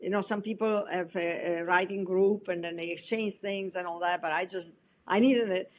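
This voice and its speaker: A middle-aged woman from Italy with an Italian accent, a guest author chatting in an interview, with a halting manner full of restarts and light laughs. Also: lowish voice, fairly even intonation. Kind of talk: casual interview chat.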